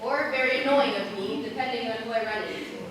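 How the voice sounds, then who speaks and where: A woman's voice: on the high side, quick pace, lightly acted. A young female student actor playing a curious, wry girl who comforts strangers in a forest, in a school stage play recorded from far off with echo. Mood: friendly, probing. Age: teens or young adult. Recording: echoey stage from far off, noisy.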